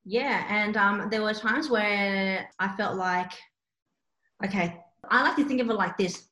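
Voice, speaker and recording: A woman's voice: medium pitch, a little slow. A young woman who grew up with Cantonese, chatting casually and telling stories with lots of fillers. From Australia. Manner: chatty, filler-heavy, animated storytelling. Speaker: interpreting student. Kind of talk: casual chat. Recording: roomy echo.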